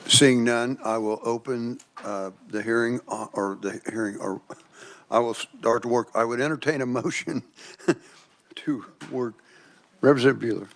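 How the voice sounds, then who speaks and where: A man's voice: mid-range voice, moderate pace. A man chairing a legislative committee meeting, running procedure in a courteous manner.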